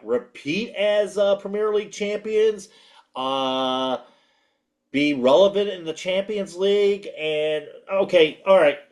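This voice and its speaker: A man with a big, projecting voice co-hosting a casual sports recap, halting and repeating his phrases as he goes. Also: sits high. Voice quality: loud, projecting voice. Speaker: sports show co-host.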